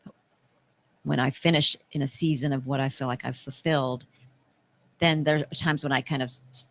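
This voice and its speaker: A woman's voice: a bass voice, steady tone, normal speed. A woman in her mid-fifties hosting a talk show, speaking earnestly and reflectively about purpose and faith. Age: 50s. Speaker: host.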